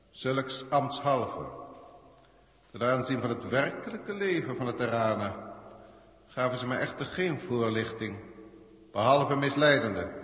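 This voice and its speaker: A man's voice: medium pitch, a bit bright, natural voice. A man plays a lofty galactic overseer from a sixties radio serial. He talks in pompous, archaic, condescending phrases with cold superiority. His mid-range voice rings through a large echoing reverb. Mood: stern, lofty. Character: haughty alien proctor, cold galactic official testing Earth. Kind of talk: 1960s science-fiction radio drama acting. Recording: echoey hall-like reverb, 1960s radio.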